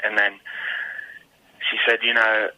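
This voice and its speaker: Very bright, slightly low male guest. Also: monotone, measured pace, poor audio. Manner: reflective, searching for words. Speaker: guest musician, singer-songwriter. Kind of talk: casual interview answers.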